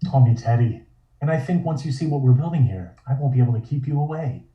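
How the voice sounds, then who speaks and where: A man playing a shrewd, smooth, quietly commanding 1920s film studio boss in a comic table read. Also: medium pitch, fast, lightly acted. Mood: confident, commanding. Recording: roomy, dull home microphone.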